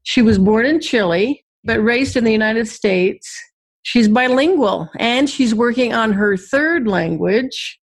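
A woman hosts the show in a somewhat high voice. She takes her time, reading the guest's introduction and asking questions slowly and evenly. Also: animated, slightly rough. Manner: slow and measured, reads the guest's introduction. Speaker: talk show host. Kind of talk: interview hosting.

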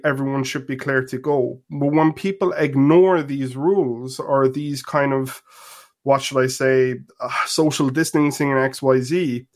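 A man with an Irish accent calling in to a talk radio show, explaining his point earnestly and step by step. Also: mid-range voice. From Ireland. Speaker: caller. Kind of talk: call-in radio discussion. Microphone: phone or online call.

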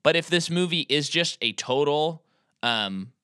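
Bright-voiced man riffing on movies. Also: on the high side, animated, smooth, no room sound, clean studio sound. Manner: opinionated, quick to joke about his own hot takes. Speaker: podcast co-host. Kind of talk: casual movie-review chat.

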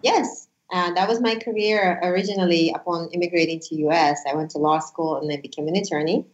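Low-voiced immigrant woman telling her story. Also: a little fast, roomy sound, lo-fi sound. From Uzbekistan. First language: Russian. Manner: earnest, animated storytelling. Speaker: guest, former attorney. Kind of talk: casual interview chat.

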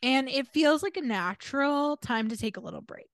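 Lively, high-voiced American woman podcaster. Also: moderate pace, no room sound, studio quality. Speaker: podcast co-host. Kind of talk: casual chat.

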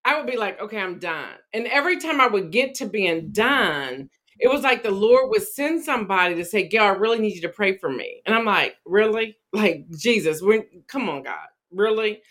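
A woman's voice: medium pitch, moderate pace. A woman guest, an author, sharing her faith story in conversation, acting out her own exchanges with God. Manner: animated storyteller, acts out her own prayers. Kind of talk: faith-based testimony in conversation.

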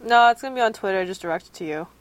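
A woman's voice: medium pitch, animated, very fast. A woman of twenty-one co-hosting a casual chat, giggly and teasing. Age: 20s. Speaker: podcast co-host.

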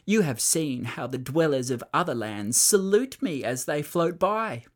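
Reader doing a boastful storybook king: raised, lively pitch, grandiose and vain. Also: a little slow, lightly acted. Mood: pompous. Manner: grandiose, makes up grand words. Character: pompous boastful island king.

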